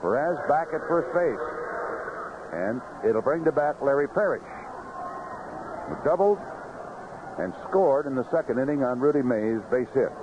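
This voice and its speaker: A man's voice: medium pitch, expressive, unhurried, pauses often, highs cut off, noisy background. A man calling baseball play-by-play on 1970s radio in clipped phrases with vivid descriptive calls, on a band-limited old broadcast. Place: ballpark broadcast booth.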